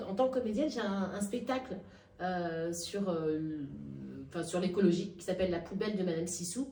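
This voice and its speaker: A woman being interviewed, with frequent restarts and hesitations that give way to emphatic, lively stretches. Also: mid-range voice. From Nigeria and Madagascar. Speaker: actress and workshop founder, interviewee. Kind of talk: spontaneous interview talk. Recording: echoey room, heard from a distance.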